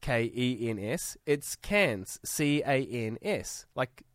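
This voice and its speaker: Male radio co-host, New Zealand accent, lively giggly delivery, close dry studio mic. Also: mid-range voice, takes it slowly, dry recording. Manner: giggly, chatty, lots of fillers. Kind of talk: casual on-air banter. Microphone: studio microphone.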